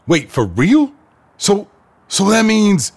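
An actor playing an anxious, slangy DJ under pressure, speaking hesitantly in an audio drama. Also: male voice, high voice, wildly swooping pitch, takes it slowly, slightly rough, exaggerated voice. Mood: desperate, eager. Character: anxious, foul-mouthed male DJ being blackmailed.

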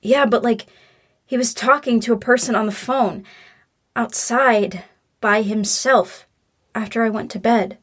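An actress plays a young woman at odds with her father in an audio drama. Her voice sits a bit high with a husky grain. She is guarded and curt, with hurt under the defiance, acted naturally. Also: steady tone, a little slow, fairly bright tone. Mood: confiding, troubled.

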